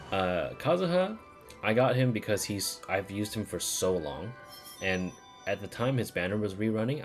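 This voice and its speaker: A low-pitched man talks casually through his game on a stream. He rambles informally and swears now and then, while music plays prominently under his voice. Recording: music playing behind the voice.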